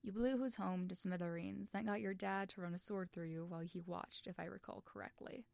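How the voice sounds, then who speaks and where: A woman playing a blunt, unimpressed man, a former tyrant in a story, speaking softly and dryly. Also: lowish voice, fairly even intonation, fairly quick, gravelly, no room sound. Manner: dry, blunt, soft-spoken.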